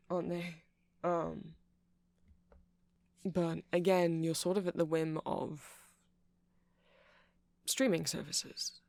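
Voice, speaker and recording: A man playing a nerdy, chatty vampire in an ASMR roleplay, rambling softly, earnestly and hesitantly about his interests. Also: on the high side, creaky, no echo.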